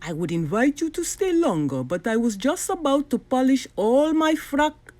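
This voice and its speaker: A woman voicing a sly, smug male villain doctor in a children's storybook, with an oily, drawn-out manner. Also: high voice, sing-song, darkish tone, pitched above the performer's own voice. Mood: falsely polite. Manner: oily, drawn-out delivery, sputtering when flustered.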